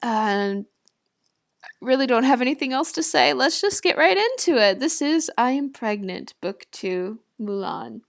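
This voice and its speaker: A woman's voice: on the high side, animated, unhurried, gravelly, no echo, studio quality. A young woman reading and commenting in a casual, informal, slightly amateur way, with a giggly manner. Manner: giggly, informal, stumbles over the text and rereads. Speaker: video host. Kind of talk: casual reaction commentary and amateur read-aloud of fan fiction.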